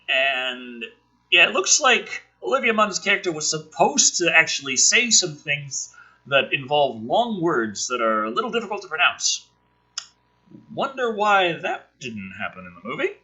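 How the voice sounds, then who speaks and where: A man hosts a casual script reading with sarcastic commentary. His voice is very bright and forceful with a raspy edge. He swears freely and swings his pitch widely between narration and rant. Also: low-quality recording. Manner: sarcastic, profane. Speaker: host reading a film script aloud with commentary. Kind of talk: casual dramatic script reading with ranting asides.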